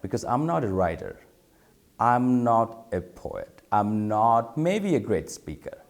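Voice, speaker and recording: Slow, halting man. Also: medium pitch, big pitch swings. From Bangladesh. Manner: thoughtful, halting, repeats words while searching for them. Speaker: photographer. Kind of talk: reflective interview talk.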